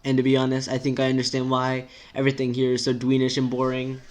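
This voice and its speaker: A man's voice: mid-range voice, completely flat delivery, fast, lightly acted. A man voicing a vain, irritable, sarcastic teenage girl with a biting, emphatic delivery. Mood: reluctant honesty.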